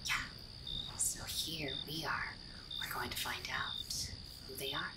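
A woman's voice: very deep voice, fairly even intonation, slow, recorded from a distance. A woman voicing a playful, daring young explorer in a whispered, teasing ASMR roleplay. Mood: teasing, conspiratorial.

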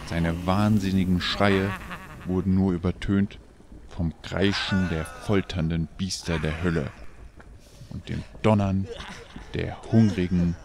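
A man tells a scripted story dramatically as narrator. His voice is deep and creaky, his pace measured.

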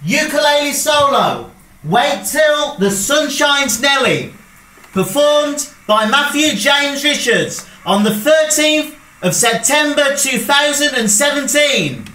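A man playing a formal old-time record announcer, declaiming loudly and slowly in the style of an early phonograph announcement. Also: very high-pitched, lively intonation, roomy sound, pitched above the performer's own voice, full character voice, rough recording.

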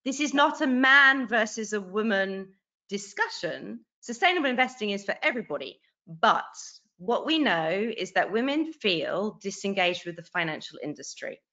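A woman's voice: mid-range voice, moderate pace. Earnest woman on a video call. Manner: animated, earnest, restarts phrases. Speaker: author and guest. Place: bright home room. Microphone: video call webcam. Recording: dull lo-fi video call audio.

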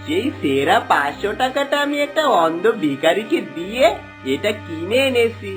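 Woman voicing a callous, animated village husband. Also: medium pitch, moderate pace, soft background music, natural voice. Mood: smug, irritated.

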